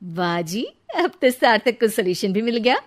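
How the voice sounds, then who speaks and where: Female narrator for a scripted animated family story, linking the scenes. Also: mid-range voice, moderate pace.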